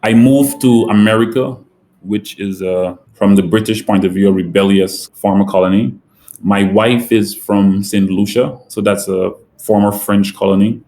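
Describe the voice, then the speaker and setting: A man from Guyana talks through his business in an interview. His voice sits fairly low, and he answers in a measured way, walking through examples step by step. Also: fairly even intonation, mellow, slight background noise. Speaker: company president, guest.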